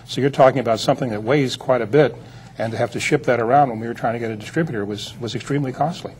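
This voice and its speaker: A man's voice: mid-range voice, rapid-fire, a little mellow. Older man reminiscing over background noise. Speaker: interview guest, film producer. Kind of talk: reminiscing in a TV interview.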